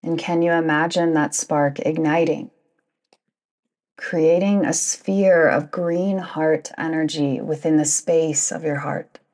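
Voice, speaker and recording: A woman guiding a yoga nidra meditation in a soothing, deliberate manner, with long pauses between phrases. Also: low voice, steady tone, darkish tone. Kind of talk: guided meditation narration.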